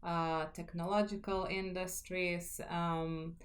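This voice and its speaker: A woman, a guest speaking about her communications work in a lively, upbeat way with many fillers over a video call. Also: fairly low pitch, slow. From Lithuania. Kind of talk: casual interview conversation.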